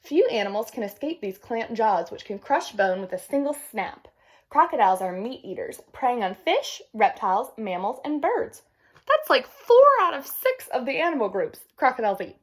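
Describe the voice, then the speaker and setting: A woman's voice: fairly high pitch, big pitch swings, moderate pace. A woman reading a children's nature book aloud, with casual teacherly asides to young listeners. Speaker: read-aloud presenter.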